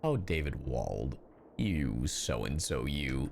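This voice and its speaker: A man livestreaming his reactions to a video game in a casual, excitable, sweary way, with game music behind. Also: rumbling low, takes it slowly, creaky, slight background noise. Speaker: streamer.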